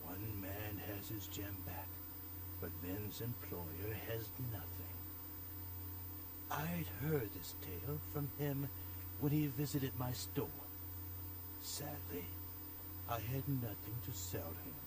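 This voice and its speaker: An actor playing a cryptic, sinister antique shopkeeper in a video game, telling morbid tales in a measured, formal, ominous way. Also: male voice, mid-range voice, lively intonation, background noise. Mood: sly, morbid. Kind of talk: dramatic video game acting.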